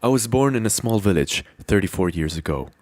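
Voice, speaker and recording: A man's voice: lowish voice, expressive, a little fast, darkish tone. A man hosting a podcast, chatting casually with his guest and teasing in a jokey way. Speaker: podcast host. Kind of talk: casual chat interview.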